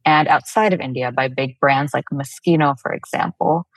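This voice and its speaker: A woman with Telugu as her mother tongue answers as a guest in a casual interview. Her voice sits low, and the sound is dry with very little room around it. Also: normal speed, breathy edge, dry recording. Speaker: guest, fashion brand founder.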